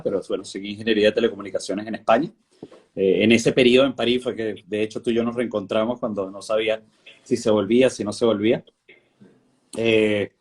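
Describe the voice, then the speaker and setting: A man from Venezuela in his thirties, talking over a video call with a fairly low voice. He speaks in a relaxed, reflective way, pausing with fillers and restarting his sentences as he thinks. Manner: thoughtful, many fillers and restarts. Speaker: guest, winery director. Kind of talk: relaxed conversational interview.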